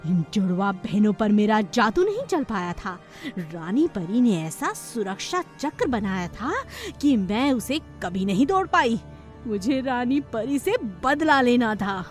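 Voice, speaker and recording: A woman voicing a vengeful, scheming evil sorceress in a children's cartoon story, with gloating, drawn-out menace. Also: on the high side, big pitch swings. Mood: triumphant. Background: music.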